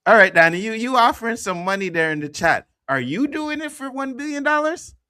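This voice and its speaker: A man hosting a casual online chat, loose and joking, swearing freely. His voice is bright, and the sound is dry and close. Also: extremely high pitch, sing-song, brisk, clean tone, no echo. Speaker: host.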